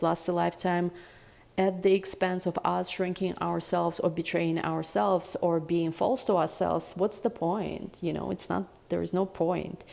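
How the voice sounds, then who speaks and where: A woman giving a casual tarot reading in a deep, chesty voice, rambling and reflective with many fillers. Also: creaky, dark tone. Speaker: tarot reader.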